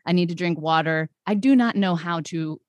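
Low-voiced animated woman, dry sound. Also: moderate pace, dry recording. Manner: animated, laughs often, explains with analogies. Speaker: podcast guest, brand strategist. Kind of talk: casual interview chat.

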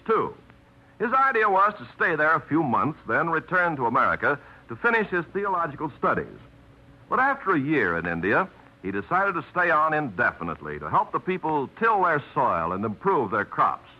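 A man announcing a 1950s radio drama in an earnest, declamatory style, on a dull old radio recording. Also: medium pitch.

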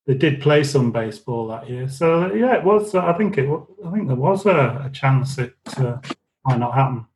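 A man of medium pitch talking casually and haltingly as a podcast panellist. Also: lively intonation, a little mellow, low-quality recording. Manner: hesitant, halting. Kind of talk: casual discussion.

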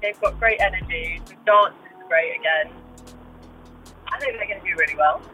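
A woman's voice: on the low side, normal speed, bright, forceful tone, faint music behind, poor audio. A woman from Iceland chatting informally and effusively as a radio guest, often dropping in "like". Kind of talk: casual chat.

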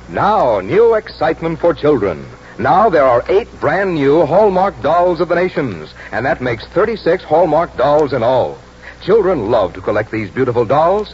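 A man's voice: mid-range voice, darkish tone. A polished male announcer on 1940s radio, selling with enthusiasm. His mid-range voice swoops expressively, heard through a dull recording with faint noise. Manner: polished, enthusiastic sales delivery. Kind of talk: scripted 1940s radio advertising read. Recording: dull, noisy vintage radio broadcast.

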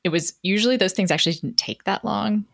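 A woman's voice: mid-range voice, fast, creaky. A middle-aged woman telling a personal story conversationally as an interview guest, reflective and self-deprecating, often checking in with "right?".

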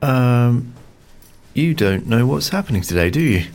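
An actor playing an easygoing, sympathetic male office colleague, casual and gently probing in naturalistic audio drama dialogue. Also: mid-range voice, big pitch swings, measured pace. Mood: casual concern.

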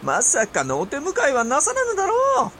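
An actor playing an imperious, impatient and suspicious medieval Japanese ruler in a period audio drama, with theatrical, drawn-out emphasis. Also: male voice, very high voice, huge pitch swings, fairly bright tone, slight background noise, heavily acted. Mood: imperious, displeased. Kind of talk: period radio drama acting in archaic speech.

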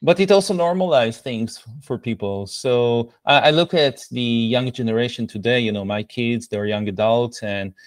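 A man's voice: mid-range voice, normal speed, hardly any pauses, dry recording. A middle-aged man from Israel with an Israeli accent, answering conversationally as a podcast guest in a reflective, explanatory way. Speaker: podcast guest, product coach.

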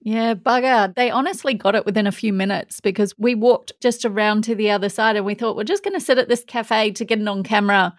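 A woman's voice: medium pitch, fairly even intonation, brisk, hardly any pauses, no echo, studio quality. A woman, co-hosting a podcast in an upbeat, laughing chat. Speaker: podcast co-host. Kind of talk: casual chat.

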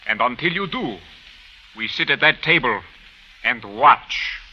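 Muffled, stolid big Egyptian heavy. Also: male voice, medium pitch, animated, sharp tone, slightly rough, full character voice. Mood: stolid, menacing. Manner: terse, formal, deliberate. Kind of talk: 1950s radio acting. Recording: muffled vintage radio recording.

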